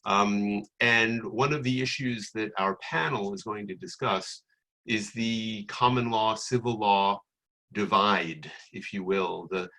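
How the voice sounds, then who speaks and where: An older man from New York City, speaking with that city's accent. His voice is low, gravelly and quite bright and forceful. He introduces a panel in a semi-formal webinar style, pausing often as he forms his sentences. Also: fairly even intonation, low-quality recording. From New York City, United States.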